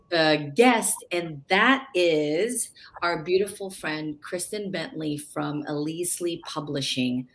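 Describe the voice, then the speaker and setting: A woman's voice: low-pitched, a bit bright, a little hiss or hum. A woman hosting a live online talk show, opening it with gushing, effusive introductions over a video call. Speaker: host.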